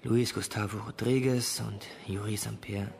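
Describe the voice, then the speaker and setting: An actor playing a teenage schoolboy suspect in a German radio crime drama, explaining himself in a hesitant, defensive, uneasy way. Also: male voice, on the low side, steady tone, moderate pace, gravelly, a little mellow. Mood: defensive confession. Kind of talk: German radio play acting.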